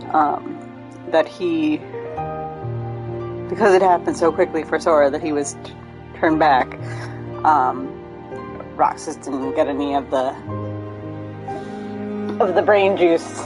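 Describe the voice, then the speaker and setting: A woman streaming a game live, explaining the story in a halting way as she thinks aloud. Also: low-pitched, rough recording. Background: game music.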